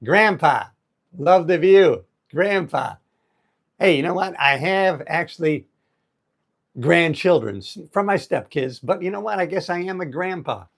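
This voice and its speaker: A man's voice: a little high, lively intonation, unhurried, creaky. Upbeat man in his sixties, livestreaming. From United States. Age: 60s. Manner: upbeat, greets viewers and chats loosely. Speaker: livestream host. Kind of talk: casual motivational livestream. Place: outdoors on an island in heavy rain. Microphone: phone held in vertical mode.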